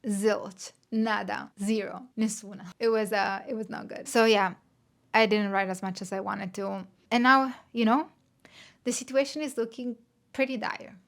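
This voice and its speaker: A woman in her thirties with an Italian accent talks casually to her camera, candid and self-deprecating. Her voice has a gravelly, creaky texture and sits at an ordinary middle pitch. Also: fairly even intonation, moderate pace, fairly bright tone. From Italy. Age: 30s. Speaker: vlogger.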